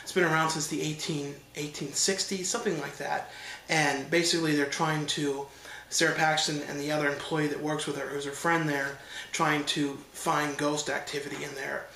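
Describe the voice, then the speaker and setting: A man's voice: a little high, level tone, moderate pace, gravelly, pushed and bright, reverberant, a little hiss or hum, rough recording. A man with a stuffed-up nose from allergies giving a loose, rambling casual review, with many fillers and restarts. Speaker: horror film reviewer.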